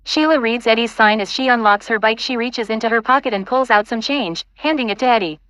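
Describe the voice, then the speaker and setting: A woman's voice: a little high, brisk, a bit bright, no room sound, rough recording. A woman reading scripted stage directions as the narrator of an audio play.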